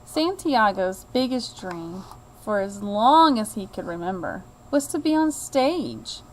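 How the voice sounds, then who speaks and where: A woman reading a children's picture book aloud in an expressive, deliberate way, like a teacher to a child. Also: medium pitch, a little hiss or hum, rough recording. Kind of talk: children's storybook read-aloud.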